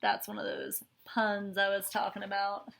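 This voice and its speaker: A woman's voice: mid-range voice, completely flat delivery, normal speed, a bit bright. A woman reading a picture book aloud to children, adding chatty, explanatory asides as a teacher would.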